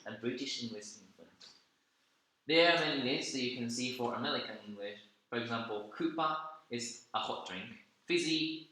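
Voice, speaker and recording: Halting male presenter, echoey room. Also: mid-range voice, pushed and bright. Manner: halting, repeats words. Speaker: student presenter. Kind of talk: class presentation.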